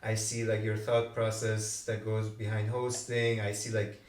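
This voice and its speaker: A man's voice: on the low side, completely flat delivery, unhurried. A man from Iran, a guest comedian chatting casually on a podcast, self-deprecating and loose, with frequent "like" and "right?". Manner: laid-back, self-deprecating, lots of "like" and "right?". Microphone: room mic away from speaker. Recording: roomy, distant mic.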